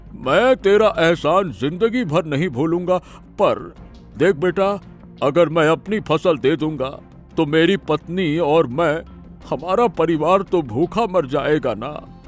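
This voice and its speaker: Man playing a slow, reluctant older village uncle. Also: fairly high pitch, animated, soft background music. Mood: apologetic refusal. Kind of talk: Hindi cartoon moral story acting.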